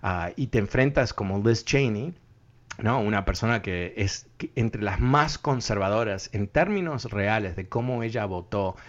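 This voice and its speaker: A man with a fairly low voice hosts a radio talk show and gives earnest commentary. He thinks aloud and fills his pauses with hesitations. The broadcast sounds muffled and lo-fi. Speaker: radio host.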